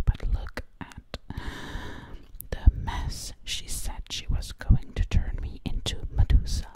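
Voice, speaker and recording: An unhurried, airy whisper carries this ASMR roleplay, fearful and searching. The speaker murmurs worried questions and loops single words in soft repetition, never raising the voice above a hush. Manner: frightened, breathless questions to themselves.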